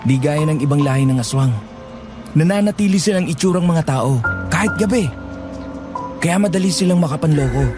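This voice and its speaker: A man's voice: fairly high pitch, natural voice. A man playing a talkative, teasing young villager who explains monster lore informally in a horror audio drama.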